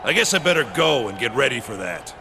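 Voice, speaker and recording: A man voicing a gruff, grumbling big tag-team wrestler in a video game, terse and grudging. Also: mid-range voice, sing-song, fast, crowd noise, background noise. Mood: sullen. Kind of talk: video game cut scene acting.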